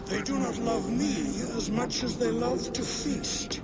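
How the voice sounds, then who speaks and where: An actor playing a proud, boastful old giant lord in a video game, grandiose and commanding. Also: male voice, very high-pitched, steady tone, measured pace, over loud music. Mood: measured. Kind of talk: video game character acting.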